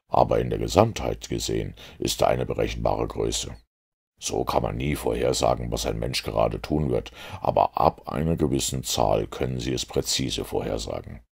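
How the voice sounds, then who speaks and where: A man's voice: extremely low pitch, moderate pace, creaky, breathy edge, pitched below the performer's own voice, exaggerated voice. A man voicing a commanding, driven detective with an emphatic delivery in an audiobook. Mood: lecturing.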